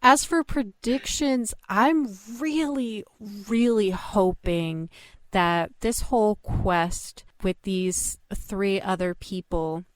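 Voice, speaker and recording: A woman's voice: mid-range voice, slow pace, dry recording. A woman in a casual fan discussion, speaking as a thoughtful, analytical podcast panelist.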